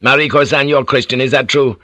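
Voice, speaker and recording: A man's voice: medium pitch, steady tone, fairly quick, a bit bright, no room sound, pitched above the performer's own voice, close to an everyday voice. A man voicing a shrewd, commanding Japanese feudal warlord who speaks in an authoritative, probing way in an audiobook.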